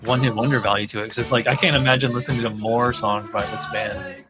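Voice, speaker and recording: A male podcast host in a relaxed group chat about music. He rambles and feels his way through his thoughts at a medium pitch. Music plays clearly behind his voice. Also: fast.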